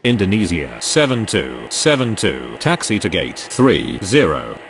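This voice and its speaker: A man's voice: deep voice, huge pitch swings, a little slow, full character voice. A man playing a pilot and air traffic controller, giving formal, clipped radio callouts in standard aviation phraseology. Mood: businesslike.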